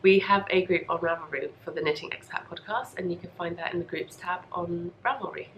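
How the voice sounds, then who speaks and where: Slightly bunged-up woman podcaster, lower-pitched voice. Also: brisk, a bit bright, slight background noise, low-quality recording. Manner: rambling, self-deprecating, apologetic. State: congested and coughy from a lingering cold. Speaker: podcast host. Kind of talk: casual chatty video podcast. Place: home craft room.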